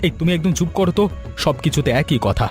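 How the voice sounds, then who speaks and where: A man acting a fast-talking, firm young husband. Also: a little high, expressive, music in the background, natural voice. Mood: indignant. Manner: firm, protesting. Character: supportive, indignant young husband. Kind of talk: Bengali cartoon voice acting.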